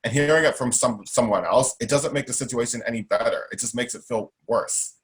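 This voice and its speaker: A man's voice: mid-range voice, brisk. A young man speaking as an interview guest, emphatic and outspoken, with a strong voice. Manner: emphatic, outspoken, repeats himself. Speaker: guest, self-advocate. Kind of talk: interview conversation.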